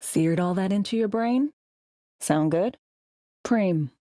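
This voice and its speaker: An actress playing a tough, bossy, wry spy handler in a cyberpunk video game, with clipped, slangy, teasing delivery. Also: female voice, medium pitch, animated, slow pace, dark tone, no echo, clean studio sound. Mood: brisk, wry briefing. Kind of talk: video game voice acting, briefings and radio guidance.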